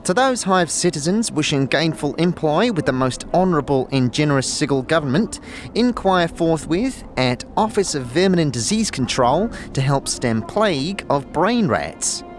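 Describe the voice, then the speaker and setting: A man's voice: on the high side, sing-song, normal speed, faint music behind, pitched above the performer's own voice. A man voicing a pompous, officious bureaucrat's public notice in a fantasy audio drama, in clipped, self-important phrases.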